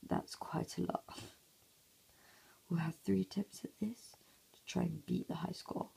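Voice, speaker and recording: A man with a hoarse, croaky voice, half-whispering casual commentary over a mobile game at home early in the morning, recorded on a phone. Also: sits high, fairly even intonation, unhurried, warm tone. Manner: half-whispering to avoid waking sleepers. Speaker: gameplay commentator.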